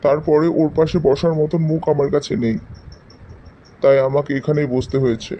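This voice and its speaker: Casting: man as defiant, hurt young boyfriend, cartoon drama, rather high yet mellow voice. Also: fairly even intonation, moderate pace, lightly acted. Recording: slightly dull sound, faint noise.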